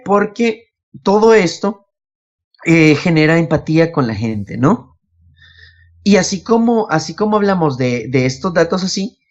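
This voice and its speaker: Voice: mid-range voice, lo-fi sound. A podcast host, chatting casually and telling anecdotes, often acting out what others said. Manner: acts out quoted remarks.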